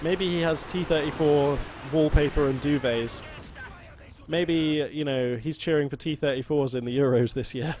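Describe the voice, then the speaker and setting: Excitable British man casting a game. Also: fairly high pitch, moderate pace. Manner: excitable, rambling and jokey. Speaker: game caster. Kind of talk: live strategy game commentary. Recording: loud game battle sounds behind. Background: gunfire, artillery and vehicle noise from the game.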